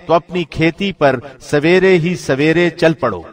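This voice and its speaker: A man reading a scripture translation from a script in a formal, measured radio-announcer manner. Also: on the high side. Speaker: translation reader, radio presenter.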